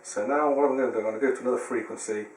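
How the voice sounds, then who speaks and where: A man's voice: mid-range voice, steady tone, racing, darkish tone. A man giving an informal hands-on product tutorial, explaining step by step in a slightly hesitant way. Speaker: product demonstrator. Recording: roomy echo with background hiss.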